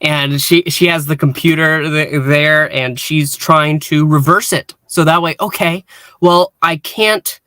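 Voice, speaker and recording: A man hosting a casual review discussion, speaking in a halting way with repeated false starts. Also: a little high, steady tone, sharp tone, dry recording. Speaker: podcast host and reviewer.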